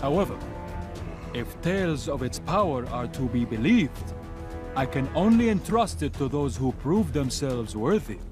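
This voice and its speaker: Stately, lilting mystical keeper. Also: male voice, mid-range voice, sing-song, measured pace. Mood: courtly. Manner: formal, theatrical. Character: stately, courteous mystical keeper who sets trials. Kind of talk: animated video game character acting. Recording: music behind the voice.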